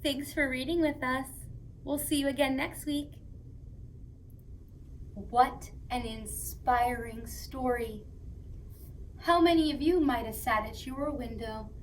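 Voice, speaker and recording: A woman hosting story time for young children, reading aloud and chatting to them in a playful, expressive way. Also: fairly high pitch, a little hiss or hum, low-quality recording.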